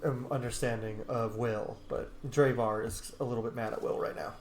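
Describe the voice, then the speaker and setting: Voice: medium pitch, fairly even intonation, normal speed, a little mellow, roomy sound. A gamer giving casual, informal let's play commentary on a roleplaying game, chatty and reading dialogue choices aloud. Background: game music.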